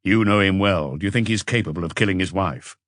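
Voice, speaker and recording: A calm police inspector from an audiobook, acted by a man. He questions in a measured, probing way with few words. The voice is fairly low and recorded very dry. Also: dry recording.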